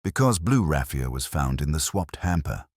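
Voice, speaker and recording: A man's voice: extremely low pitch, sing-song, moderate pace, a little mellow, no echo, clean studio sound, full character voice. A man voicing a keen, plain-spoken detective sidekick who questions and reasons in a mystery story.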